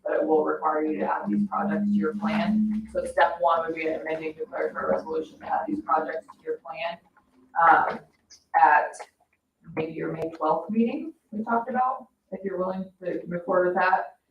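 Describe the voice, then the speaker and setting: A woman's voice: low voice, flat delivery, normal speed, creaky. A woman explaining matters in a businesslike way as a financial advisor at a public meeting. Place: indoor municipal meeting room. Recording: echoey meeting room, distant mic, dull, faint noise.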